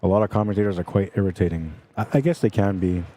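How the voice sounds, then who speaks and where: A man commentating a pool tournament live stream in a calm, easygoing way, chatting and reading viewer comments aloud. His voice is soothing and relaxing. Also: deep voice, fast talker, a little hiss or hum. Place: pool tournament venue, indoors.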